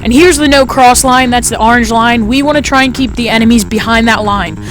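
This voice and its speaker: A fifteen-year-old boy with a light, high-sounding voice, chatting casually through his gameplay commentary with lots of fillers. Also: a little fast, a bit bright, poor audio. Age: teens. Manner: chatty, rambling, frequent fillers.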